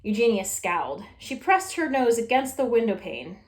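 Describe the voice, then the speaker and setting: High-voiced woman reading in echoey room. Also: big pitch swings, normal speed. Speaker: storytime reader. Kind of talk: expressive children's story reading. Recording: roomy echo.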